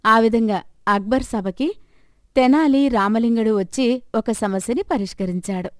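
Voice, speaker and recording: A woman's voice: fairly high pitch, a little slow, no echo, studio quality. A woman storyteller reading a scripted moral tale as narration.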